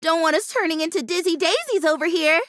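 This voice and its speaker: Very high-pitched, anxious plastic houseplant. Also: female voice, fast talker, fairly bright tone, no echo, studio quality. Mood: hopeful, chatty. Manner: chatty, earnest, quirky, with mild exclamations. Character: anxious, hopeful artificial houseplant longing to be real. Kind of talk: animated video game voice acting.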